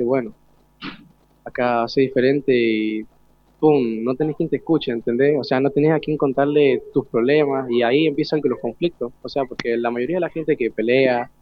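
A man's voice: mid-range voice, dry recording, dull audio. A young Argentine man, a student, answering informally in an earnest, colloquial way with frequent question tags. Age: teens to young adult. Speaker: student guest.

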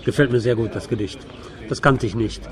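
A man's voice: medium pitch, brisk, crowd noise. A man hosting a conversational cultural radio interview. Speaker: radio host interviewing a guest.

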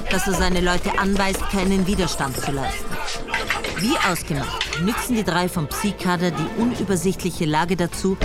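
A woman voices the dry, watchful inner thoughts of an intelligence colonel, told in the first person in a radio drama. Her voice sits low. Music plays clearly beneath her words. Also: close to an everyday voice.

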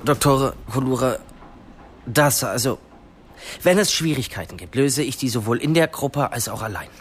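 A man's voice: medium pitch, lively intonation, normal speed, slight background noise, lightly acted. An actor playing an eager, cheeky but awkward seventeen-year-old boy in a radio drama, over-earnest and prone to stumbling. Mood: eager, polite.

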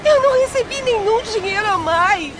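A woman's voice: very high-pitched, animated, normal speed, loud music behind the voice. A woman voicing a timid young daughter who pleads tearfully in a radio drama. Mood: tearful pleading. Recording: loud crowd behind the voice.

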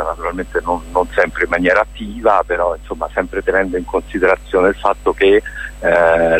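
A man's voice: deep voice, noisy background. A man answering as a festival director in a radio interview, discursive and hesitant as he searches for words.

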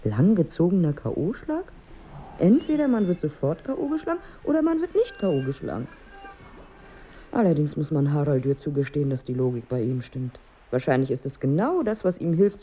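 An actress in a radio drama playing a wry, frustrated wife who needles and broods aloud. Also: female voice, sits low, mellow, a little hiss or hum. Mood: ironic musing. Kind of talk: radio drama dialogue and monologue.

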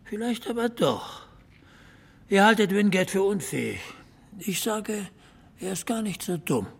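High, husky, creaky needler. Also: male voice, expressive, unhurried, heavily acted. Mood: needling. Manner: needling, argumentative. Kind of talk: German radio drama acting.

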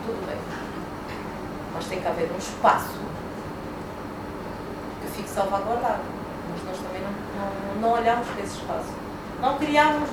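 A woman arguing hard in a public debate, repeating words for emphasis. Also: mid-range voice. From Angola. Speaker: debate speaker. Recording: echoey room from far off, noisy.

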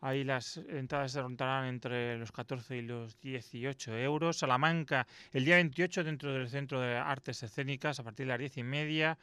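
A man's voice: mid-range voice, fairly even intonation, fairly bright tone, clean tone, dry recording. A man from co-hosting a radio music show, reading out concert listings with casual asides. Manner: brisk announcing, casual asides.

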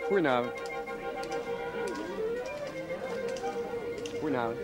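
An actor playing a courteous, reserved and slightly hesitant young priest in a television drama. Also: male voice, medium pitch, sing-song, racing, close to an everyday voice. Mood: polite. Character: polite, reserved young priest new to village. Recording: loud band music behind, outdoors. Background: brass band music.

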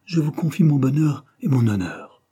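A man's voice: fairly high pitch, lively intonation, moderate pace, dark tone, breathy edge, pitched above the performer's own voice. A man voicing a chaste, serious young woman in love, speaking simply and sincerely. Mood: solemn, tender.

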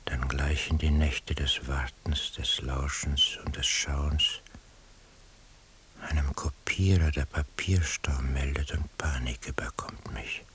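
Very deep, slow, brooding night watchman. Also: steady tone, a bit bright, full character voice. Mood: brooding, weary. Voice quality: very deep, breathy half-whisper. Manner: slow, measured, introspective. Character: brooding, weary male surveillance eavesdropper on night watch. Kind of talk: literary radio drama interior monologue. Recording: hissy radio drama recording.

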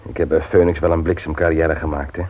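An actor playing an eager, teasing, ambitious young husband in a theatrical, mock-pompous 1960s radio serial style. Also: male voice, a bass voice, flat delivery, fast. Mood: cheerful, proud. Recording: dull vintage radio drama recording.

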